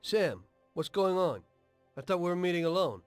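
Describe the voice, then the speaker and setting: Higher-voiced betrayed mobster. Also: male voice, sing-song, moderate pace, smooth, no echo, faint music behind. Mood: shocked. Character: betrayed mobster, defiant, wounded, principled. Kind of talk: dramatic video game cutscene acting.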